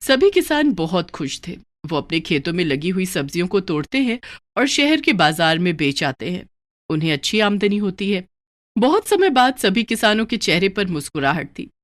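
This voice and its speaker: Expressive woman narrating a children's story. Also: on the low side, normal speed.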